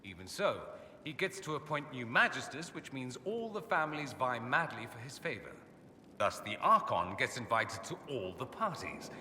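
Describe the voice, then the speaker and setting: A very bright, crisp-edged male voice playing a witty, self-assured noble mage in a fantasy game. His delivery is suave, theatrical and sardonic, swooping with lively pitch. It rings in an echoey hall over faint ambient noise. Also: moderate pace. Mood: wry, explanatory. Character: urbane, witty, self-assured foreign aristocrat mage, adult man. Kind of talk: video game dialogue acting.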